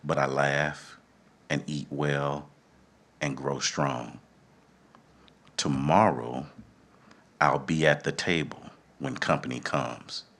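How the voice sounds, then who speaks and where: A middle-aged man emceeing a live event, speaking in measured, deliberate phrases. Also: extremely low pitch, steady tone, fairly bright tone. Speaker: event host. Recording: live event with faint background noise.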